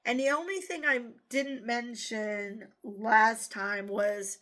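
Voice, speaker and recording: A woman's voice: on the high side, slow pace, pushed and bright. A woman hosting a casual news commentary show, blunt and exasperated, swearing freely and rambling through asides and restarts.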